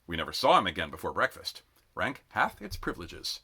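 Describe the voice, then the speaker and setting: Male narrator for a read-aloud: forceful, sharp tone, lively intonation, dramatic phrasing, expressive storytelling. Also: low voice, brisk, slightly rough. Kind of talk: expressive audiobook-style narration.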